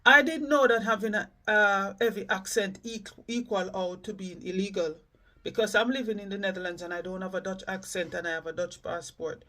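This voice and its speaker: A man from the Caribbean giving frank, earnest reaction commentary as a host. His voice sits high for a man and comes across measured. He sounds a little distant from the microphone. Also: steady tone, sharp tone.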